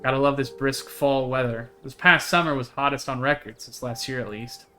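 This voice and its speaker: A bright-voiced, talkative young woman monster hunter from a visual novel, read out by a man. She is earnest and eager, with a folksy turn of phrase, and music plays behind her. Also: medium pitch, steady tone, moderate pace, close to an everyday voice. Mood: chatty, wistful. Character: chatty, earnest young woman who hunts cryptids.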